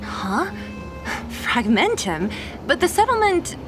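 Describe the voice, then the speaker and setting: A composed young female fighter in an anime-style game. Her pitch is on the high side and moves animatedly, though her manner is matter-of-fact, commanding and a little exasperated. Music plays underneath. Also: sing-song, slow pace, exaggerated voice, low-quality recording. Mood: sceptical, puzzled. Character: composed, sceptical young woman warrior, dry and decisive.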